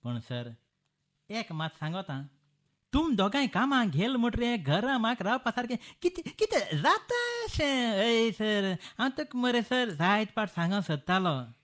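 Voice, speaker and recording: A man's voice: high voice, very animated, unhurried, fairly bright tone, heavily acted. A man playing a flustered, stammering male servant with a Goan accent in a comic radio play. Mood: flustered, explaining. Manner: stammering, repeats words, pleading. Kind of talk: Konkani musical radio comedy play.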